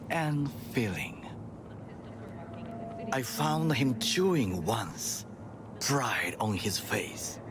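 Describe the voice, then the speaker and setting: An actor playing a solemn, formal, loyal Japanese bodyguard of middle age in a video game, with a Japanese accent and measured, stiff phrasing. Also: male voice, lowish voice, noisy background. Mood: wistful, dry. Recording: music behind the voice.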